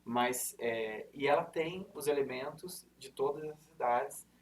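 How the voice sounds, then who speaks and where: A man's voice: mid-range voice, unhurried. A man in his thirties with an interior São Paulo accent, giving an informal presentation to students in a lecture room, chatty and full of fillers and self-corrections. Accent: interior São Paulo (caipira R). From Brazil, interior of São Paulo state. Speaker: university representative giving a presentation. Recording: distant mic, faint room noise.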